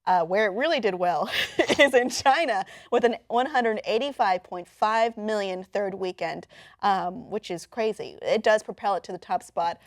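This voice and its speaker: A woman's voice: medium pitch, moderate pace, dry recording, clean studio sound. A woman hosting an online entertainment news show, explaining things in a casual, conversational way. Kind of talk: casual news chat with a co-commentator.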